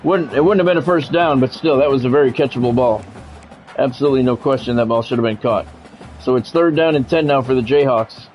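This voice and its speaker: A man's voice: mid-range voice, brisk. A man doing sports play-by-play for a video game stream, phrasing it like a radio announcer with casual asides. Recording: dull, lo-fi audio with music behind.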